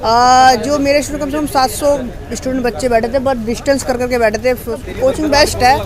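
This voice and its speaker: A man's voice: extremely high pitch, steady tone, fairly quick. A man from Delhi, a civil services aspirant, speaking emphatically in a street interview and mixing English phrases into his talk. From Delhi, India. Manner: emphatic, talkative, mixes English phrases into Hindi. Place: busy city street outdoors. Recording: busy crowd noise outdoors.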